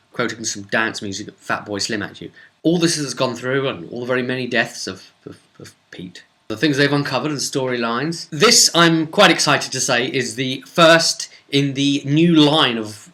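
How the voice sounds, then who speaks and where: Male game master host, British accent. Bright, forward voice, mid pitch, loose conversational delivery that meanders. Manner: rambling, chatty, acts out bits of dialogue. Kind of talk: casual unscripted recap of a tabletop role-playing game session.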